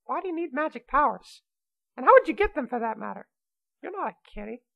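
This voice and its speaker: A woman acts out a wicked little immortal kitty in an amateur radio comedy. The voice sits high and leaps around, brash and excitable, with a childish whine and a scatterbrained air. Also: fairly quick, vocal fry, muffled recording, exaggerated voice. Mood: indignant, puzzled.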